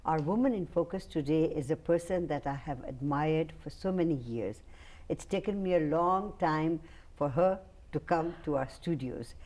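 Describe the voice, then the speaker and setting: A woman's voice: sits low, normal speed, a little mellow. A woman hosting an interview show, asking questions in an admiring, encouraging way. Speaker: talk show host.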